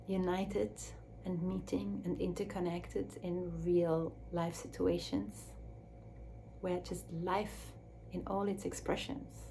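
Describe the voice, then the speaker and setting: A woman speaking English as a second language in a slow, searching reflective talk, with restarts and soft drops to a near whisper. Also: fairly low pitch, a little hiss or hum, low-quality recording. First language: Swiss German or Dutch. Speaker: speaker on her own channel.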